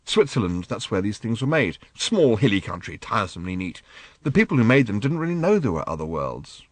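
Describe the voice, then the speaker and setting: A man's voice: on the low side, very animated, brisk, no echo. A man reading a hesitant, bewildered father. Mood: explaining. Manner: hesitant, apologetic, gently explaining. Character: mild, bewildered English father, earnest. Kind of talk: audiobook reading.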